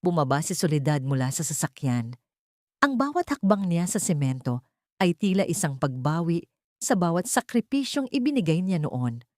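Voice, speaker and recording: A woman with a Filipino accent narrating a dramatic scripted story in an expressive, theatrical manner. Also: on the low side, moderate pace, no room sound, studio quality.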